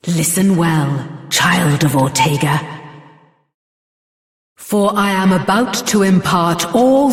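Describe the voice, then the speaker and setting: A woman voicing a regal, dying dragon queen in a fantasy video game, stately, formal and solemn, with echoing hall reverb. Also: fairly low pitch.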